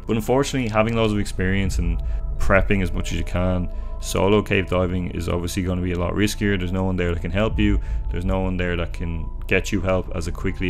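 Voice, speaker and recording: A young man from Dublin with a Dublin Irish accent, narrating a true story in an earnest, chatty way from a small room at home. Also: low-pitched. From Dublin, Ireland. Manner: earnest, chatty commentary with restarts. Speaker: YouTube storyteller. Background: faint music.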